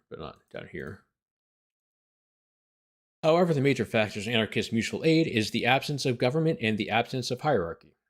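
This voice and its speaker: A man hosting as a lawyer, reading a document aloud and breaking off for incredulous, sarcastic commentary. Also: fairly low pitch. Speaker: lawyer host.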